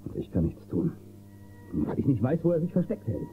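An actor playing an upright, steady space-western marshal in a radio play, earnest and firm. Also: male voice, medium pitch, fairly quick, soft background music. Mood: worried, confiding. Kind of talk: German radio play acting. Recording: tinny, noisy old cassette copy.

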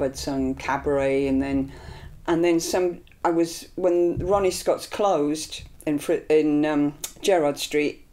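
Older Scottish-born woman, earnest and rambling. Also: low-pitched. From Scotland. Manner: earnest, animated with hand gestures; rambling with fillers and restarts. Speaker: improvising vocalist. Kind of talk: reflective interview. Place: small indoor studio room.

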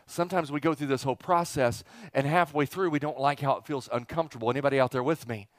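A man's voice: mid-range voice, quick pace, no echo, studio quality. A middle-aged man preaching an informal, interactive sermon as a pastor, folksy and joking, putting questions to his congregation and repeating phrases for emphasis. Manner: folksy, joking, asks the audience rhetorical questions. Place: church auditorium stage, indoors. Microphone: headset.

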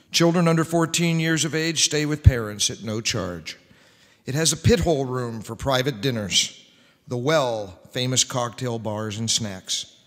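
A middle-aged man hosting as a formal announcer, reading scripted copy in old-time radio style. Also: mid-range voice. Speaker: radio show host and announcer. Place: large church-like hall on stage, indoors. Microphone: stand microphone at lectern. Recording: reverberant hall.

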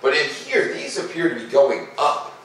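A middle-aged man hosting a children's read-aloud, mixing expressive picture-book reading with informal, casual asides. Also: on the high side, normal speed. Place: living room by a fireplace, indoors. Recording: echoey room, distant mic, faint noise.

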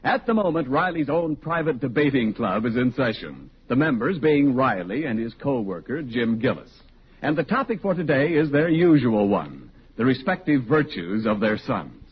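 A man's voice: mid-range voice, sing-song, moderate pace. A man reading scripted introductions as a 1940s radio announcer. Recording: dull, faintly noisy 1940s radio recording.

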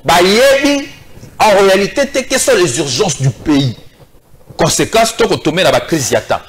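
Un homme d'une quarantaine d'années, débattant avec véhémence et un ton critique sur un plateau de télévision. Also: male voice, high voice, animated, normal speed, crowd noise. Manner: emphatic, critical, gesturing. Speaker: political commentator. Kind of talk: TV debate. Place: TV studio set.

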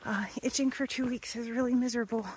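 A woman's voice: fairly high pitch, fairly even intonation, quick pace, background noise. A young woman, chatting casually into a phone held at arm's length while thru-hiking on a wooded trail, sometimes puffing from the climb. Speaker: thru-hiker vlogger. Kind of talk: casual vlog. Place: outdoors on a wooded mountain trail.

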